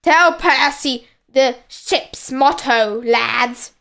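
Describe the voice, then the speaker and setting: A woman voicing a fierce male pirate captain from a children's storybook, growling and bellowing slow commands. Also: sits high, gravelly, fairly bright tone, exaggerated voice. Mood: commanding.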